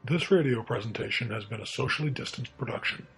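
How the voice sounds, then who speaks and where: A man's voice: mid-range voice, fast, gravelly, a bit bright. A man reading a scripted announcement as the announcer of a radio drama. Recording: faint music behind the voice.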